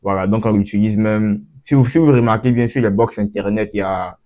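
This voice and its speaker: A man's voice: fairly low pitch, brisk, warm tone, highs cut off. A man giving a casual, off-the-cuff tutorial, often repeating and restarting his phrases as he explains. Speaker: tutorial host.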